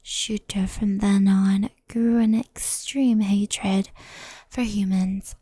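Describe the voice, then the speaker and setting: A woman playing a gentle, anxious, centuries-old fox spirit in an ASMR roleplay, speaking softly and often whispering close to the microphone. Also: medium pitch, little pitch movement, dry recording. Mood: sorrowful, hushed. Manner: soft, breathy, often whispered, unhurried.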